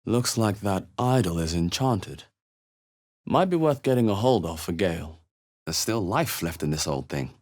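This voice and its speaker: A man playing a wary, thoughtful, dry-humoured adventurer in a fantasy video game, speaking in short measured remarks with a husky voice. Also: low-pitched, lively intonation, darkish tone. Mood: wary, observant.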